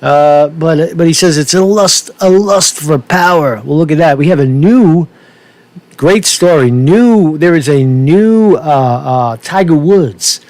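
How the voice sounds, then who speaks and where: A man hosts a loose, casual news commentary in a somewhat high-pitched voice. He swears freely and talks in slang, often repeating himself and stumbling as he rambles from one point to the next. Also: animated, slow pace, a little mellow, dry recording. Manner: profane, slangy, repetitive and stumbling. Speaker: host of his own news commentary show.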